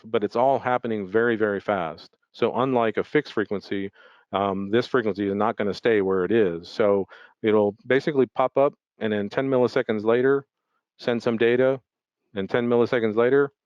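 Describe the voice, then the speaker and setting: A man's voice: medium pitch, a little mellow, smooth, no echo. A man giving an explanatory, unscripted product presentation in a webinar, hesitating often with ums and repeated words. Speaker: product presenter for an audio equipment maker. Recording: dull, lo-fi webinar audio.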